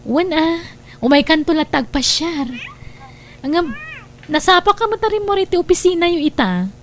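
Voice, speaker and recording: A woman's voice: very high voice, animated, moderate pace, a little hiss or hum. A woman playing a worried wife and mother in an audio drama, speaking in an emotive, sighing way. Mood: suspicious. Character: suspicious, worried wife and gentle mother of young children. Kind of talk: Ilocano audio drama acting.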